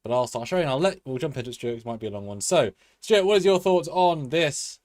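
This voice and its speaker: A man's voice: fairly high pitch, expressive, quick pace, darkish tone, no room sound, clean studio sound. A man co-hosting a live review show, rambling in a chatty way with many fillers and restarts. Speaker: co-host. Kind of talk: live-stream review chat.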